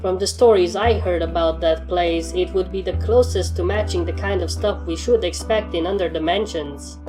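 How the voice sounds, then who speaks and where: A woman's voice: fairly low pitch, normal speed, lightly acted. A woman voicing a stern, demanding young pony trainer who speaks formally and firmly in a storybook reading. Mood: firm, insistent. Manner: formal, firm, wordy. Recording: music under the voice.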